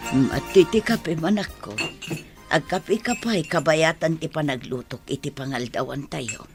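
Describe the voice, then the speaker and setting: A gentle old grandmother in a radio play. She sounds worried, and she counsels in a soothing, admonishing way. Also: deep voice, measured pace, music in the background. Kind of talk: radio drama acting.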